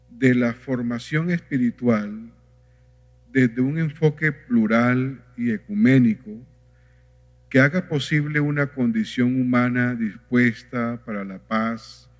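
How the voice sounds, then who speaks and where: A man moderates a forum in a formal, unhurried way, pausing on hesitant fillers. His mid-range voice rings with a long hall reverb. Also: medium pitch. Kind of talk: moderating a panel. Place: conference hall.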